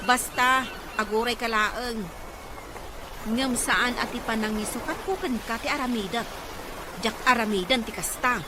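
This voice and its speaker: A nagging middle-aged wife and mother who keeps after her family, her voice a bit high, as an actress plays her. Loud noise runs behind the radio drama recording. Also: normal speed, pauses often, natural voice. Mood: bickering. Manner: nagging, scolding.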